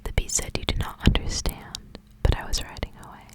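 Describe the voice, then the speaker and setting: A woman speaking in a soft, breathy, intimate whisper, as an ASMR host. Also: brisk. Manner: soft, hushed, intimate delivery. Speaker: ASMR host reading aloud. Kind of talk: whispered ASMR storybook reading with casual asides.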